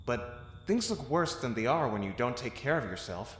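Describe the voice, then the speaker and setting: A man playing a loyal, caring and wry soldier in an audio drama, speaking in an expressive, conversational way. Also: medium pitch, moderate pace, reverberant, in a large hall, close to an everyday voice. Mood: concerned, gentle.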